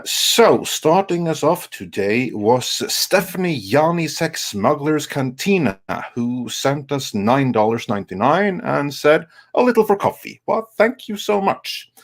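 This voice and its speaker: A man hosting a casual live chat show and reading out viewer messages in a halting way. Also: medium pitch. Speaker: host of a live stream show.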